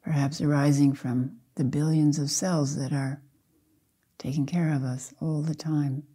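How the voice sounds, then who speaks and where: Older woman, dark husky low meditation voice. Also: fairly even intonation. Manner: measured, gentle delivery in short phrases with long pauses. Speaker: Zen teacher leading a guided meditation. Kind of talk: slow guided meditation.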